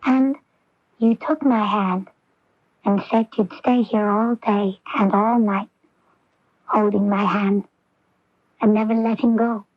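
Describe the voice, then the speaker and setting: An actress playing an earnest, intense young daughter in a 1980s radio drama, with deliberate, theatrical phrasing. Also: female voice, mid-range voice, fairly even intonation, no echo. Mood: wondering, intense. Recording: dull, dry AM radio recording.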